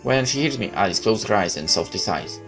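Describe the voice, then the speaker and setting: A man hosting a gaming video, reading a visual novel aloud in a halting, dramatic way with asides. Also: fairly low pitch, expressive, creaky, music in the background, poor audio.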